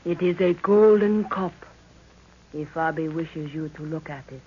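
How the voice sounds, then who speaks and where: A woman's voice: low voice, unhurried, husky. An actress playing a mysterious, proud young African native girl, speaking formally in an old radio drama. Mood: solemn, mysterious. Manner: solemn, formal, refers to self by name. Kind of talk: 1930s radio acting. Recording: muffled, faintly noisy 1930s radio recording.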